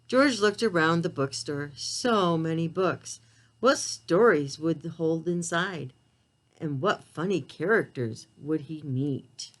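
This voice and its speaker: A woman with a slightly low voice reads a picture book aloud for preschoolers. Her pitch moves in lively swings while the pace stays slow. She speaks to the children in simple, direct language, as an expressive storyteller.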